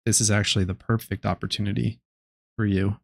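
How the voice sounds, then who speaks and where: Young male streamer, low gravelly voice, dry close mic, laid-back late-night chatter. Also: fairly quick, no room sound. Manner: low-key, relaxed, rambling with many fillers. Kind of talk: casual live-stream chat while gaming.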